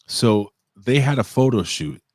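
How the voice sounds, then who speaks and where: A man with a New York accent hosting a casual wrestling review chat, excitable and swearing freely. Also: mid-range voice, a little slow, no echo, clean studio sound. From Puerto Rico. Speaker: show host.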